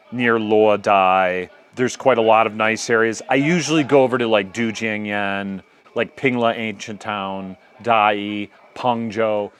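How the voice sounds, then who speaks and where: An American man giving casual, chatty travel-vlog narration while out on a forest hiking trail, easygoing and full of fillers. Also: lowish voice. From United States. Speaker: vlogger guide. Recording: music playing under the voice.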